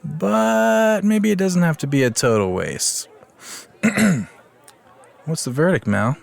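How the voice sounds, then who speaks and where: An actor playing a vain, swaggering beast slayer who talks in smug slang, in a fantasy comedy audio drama. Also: male voice, on the high side, animated, slow, vocal fry, full character voice. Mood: pouty swagger. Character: cocky dudebro beast slayer, vain male ex-boyfriend.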